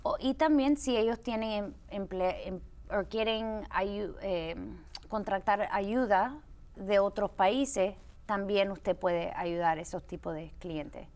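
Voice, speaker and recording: A woman's voice: mid-range voice, slow pace, a little mellow. A woman hosting a TV interview, chatty, with restarts and the odd English word mixed in. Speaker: TV show host.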